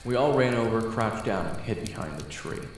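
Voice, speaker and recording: A man's voice: lowish voice, lively intonation, moderate pace. A man narrating an audio roleplay in second person, telling the story steadily. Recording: very echoey with music. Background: background music.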